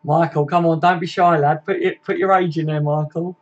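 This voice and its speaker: A man's voice: fairly high pitch, steady tone, a little fast, highs cut off. A man, hosting a casual live stream, chatting matily with viewers and laughing easily. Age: 20s.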